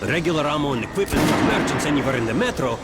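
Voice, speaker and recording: A friendly soldier quartermaster from a video game. His voice sits a little high, and he explains things in a chatty, genial way. Loud music plays behind. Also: expressive, racing. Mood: genial, instructive. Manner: genial, instructive, salesman-like. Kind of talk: video game tutorial dialogue.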